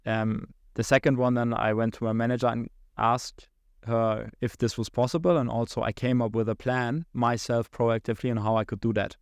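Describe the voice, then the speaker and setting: Young German-accented man, podcast guest; gravelly, monotone, halting and filler-heavy. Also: medium pitch, dry recording, clean studio sound. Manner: halting, many restarts and fillers, laughs between thoughts. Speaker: guest, account executive. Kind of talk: podcast interview.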